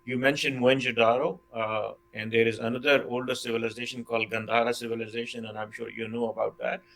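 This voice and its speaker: Gravelly-voiced man on a panel. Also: medium pitch, flat delivery, a bit bright, low-quality recording. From Pakistan. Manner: measured, with frequent fillers. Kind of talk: panel discussion.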